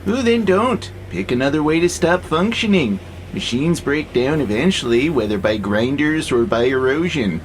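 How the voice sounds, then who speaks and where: An actor playing a gentle, philosophical freight robot in an audio drama, calmly persuasive and playfully sarcastic. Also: male voice, a little high, normal speed, a little hiss or hum, natural voice. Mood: earnest. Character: gentle, wry, philosophical male freight-hauling robot, peaceable.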